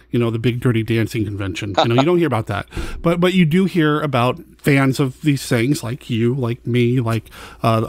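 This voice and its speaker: A middle-aged man hosting a casual podcast interview, asking questions in a thoughtful, deliberate way. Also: mid-range voice, darkish tone, no echo.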